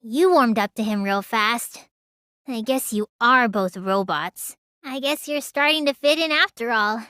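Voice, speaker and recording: A woman voicing a small, childlike teenage girl mage in a video game, high and girlish, sulky and excitable with a whiny edge. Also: measured pace, no echo, studio quality. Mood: sulky, matter-of-fact. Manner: whiny, stammers when startled. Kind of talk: English game dub voice lines.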